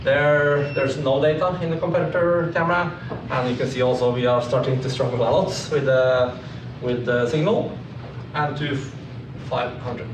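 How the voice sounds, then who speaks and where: A man giving an informal technical slide presentation, explaining in a halting way. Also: mid-range voice, steady tone. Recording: echoey room, distant mic, clearly noisy.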